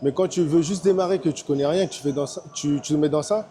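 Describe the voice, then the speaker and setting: A man's voice: fairly high pitch, quick pace, dark tone. A man in his thirties from French Guiana, a personal trainer chatting casually in a large gym, hesitant with many fillers. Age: 30s. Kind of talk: casual chat.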